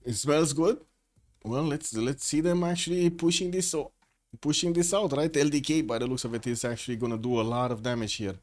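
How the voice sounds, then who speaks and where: Male streamer-caster, rambling live game commentary, greets chat, mid-pitched voice, faint music underneath. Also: darkish tone.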